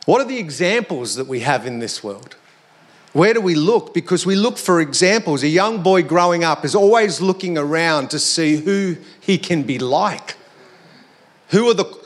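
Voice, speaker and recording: Australian male preacher, slightly high voice with a hoarse edge, conversational and reflective sermon delivery. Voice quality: slightly croaky. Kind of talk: sermon to a congregation.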